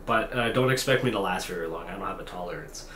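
A young man in his twenties talks off the cuff to a camera on his desk, tired and self-deprecating, stumbling over restarts and fillers. His voice sits a little low. The small hotel room gives it an echoey sound with faint ambience behind. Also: fast, fairly bright tone, slight background noise. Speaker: vlogger. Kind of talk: unscripted talking-head vlog. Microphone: camera at desk distance.

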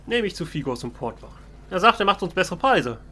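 A man's voice: high-pitched, big pitch swings, quick pace, pushed and bright, slightly rough. A man doing casual, in-character let's play commentary, musing and thinking aloud. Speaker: let's player.

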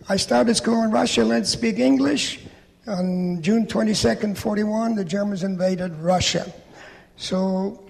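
An older man, telling his story in a plain, steady way. His voice sits high for a man and has a gravelly rasp. It echoes with the long reverb of a big hall. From Poland. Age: 80s. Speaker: Holocaust survivor speaker. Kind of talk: testimony talk to an audience. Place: large church hall. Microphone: handheld microphone.

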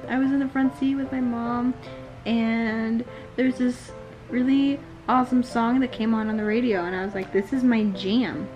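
A woman's voice: fairly high pitch, steady tone, moderate pace, darkish tone, faint music behind, poor audio. A teenage young woman chatting casually and telling stories, with playful, teasing interjections. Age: teens. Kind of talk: casual chat, storytelling. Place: living room couch, indoors. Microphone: compact camera mic at about arm's length.

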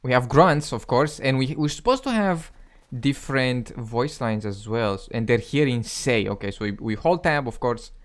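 Male gaming host, young adult, mid-pitched, casual play-by-play commentary. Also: lively intonation, moderate pace.

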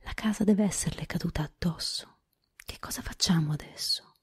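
A woman's voice: fairly low pitch, normal speed, husky. A woman voicing an innocent, timid young girl in a whispered storybook reading.

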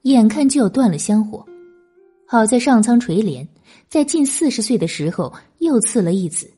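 Soft-toned, husky woman narrating a novel. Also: on the high side, expressive, moderate pace, soft background music. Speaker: audiobook narrator. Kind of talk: scripted dramatic novel narration.

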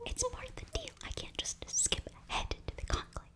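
A young woman whispering very close to the mic in an ASMR fantasy roleplay, halting, flustered and apologetic as a student sorceress. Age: young adult. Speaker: ASMR performer. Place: small indoor bookshelf set. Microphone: very close ear-to-ear microphone.

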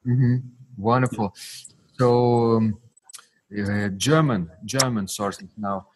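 A man hosting a podcast interview, warmly praising his guest while speaking haltingly with fillers. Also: lowish voice, slight background noise. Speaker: podcast host. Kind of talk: interview host.

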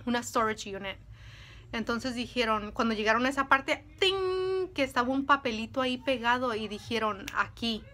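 A woman recounts a crime story straight to her camera at home. Her voice is bright and slightly high. She talks to her viewers like friends and gestures a lot as she goes. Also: animated, moderate pace. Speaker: storytime vlogger. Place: indoors at home, in front of a dresser. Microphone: camera at close range.

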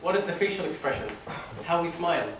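Young male motivational speaker, slightly high voice, animated, a distant mic in a roomy hall. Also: fast talker, a little hiss or hum. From Oman. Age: young adult. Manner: animated, laughs at his own stories, acts out quotes. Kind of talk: storytelling motivational talk. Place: wood-panelled lecture room with a seated audience. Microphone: room mic at a distance while he walks the stage. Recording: echoey hall from far off.

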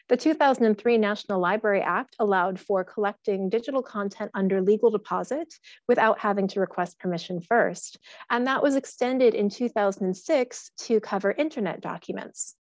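An American woman presents an informal talk from a small room, her steady voice explaining things with a few fillers. The sound is dry and close, picked up by headset earphones. The call audio comes through dull and lo-fi. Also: medium pitch, brisk, dry recording. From Pennsylvania, USA. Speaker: archivist giving a webinar talk. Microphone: headset earphones on video call.

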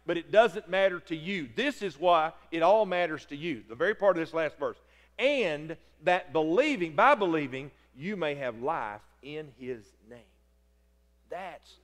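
Preacher guy, middle-aged, loud and excitable, kinda high voice, big church reverb. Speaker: pastor. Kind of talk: sermon. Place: large church sanctuary. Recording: long reverb of a church hall.

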